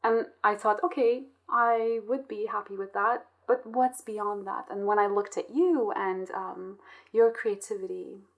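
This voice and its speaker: Reflective woman interviewer, medium pitch. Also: steady tone, measured pace.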